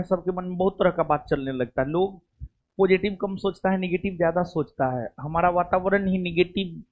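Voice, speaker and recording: A man's voice: high voice, narrow, tinny audio. A man talking informally to his students in a coaching classroom, rambling and often repeating himself. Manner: chatty, rambling, repeats "theek hai". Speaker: coaching teacher.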